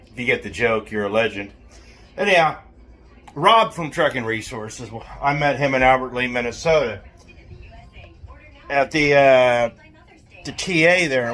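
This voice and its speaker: A man's voice: medium pitch, a bit bright. A man hosting a hands-on repair video in a casual, folksy, jokey way with colloquial wording. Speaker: host of a CB radio repair video.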